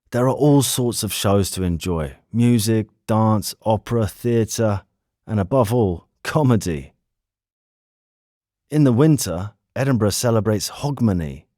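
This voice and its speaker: Man, lowish pitch, scripted educational narration, measured clear phrasing, dry studio-like sound. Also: mellow, dry recording. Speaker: narrator of an English lesson. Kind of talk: scripted city tour narration for English learners.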